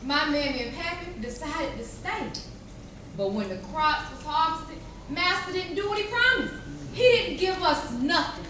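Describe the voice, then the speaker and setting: A woman performing as a formerly enslaved woman who recounts her life in dramatic, deliberate stage storytelling. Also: very high-pitched, lively intonation, bright, forceful tone, exaggerated voice. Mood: solemn recounting. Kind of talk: dramatic readers theater storytelling. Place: large echoey chapel during a live performance. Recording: cavernous echo, distant mic, noisy.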